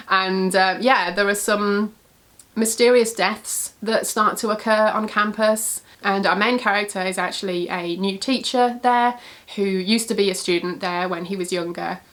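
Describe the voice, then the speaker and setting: Woman reviewer, congested and husky with a cold, casual chatty delivery. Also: lowish voice, fairly bright tone. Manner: chatty, frequent "yeah" fillers. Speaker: book reviewer. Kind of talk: casual book recommendations.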